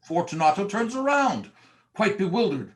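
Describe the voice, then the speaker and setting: A man's voice: sits high, sing-song, moderate pace. A man narrating a gothic horror drama from a script in a theatrical, storytelling style.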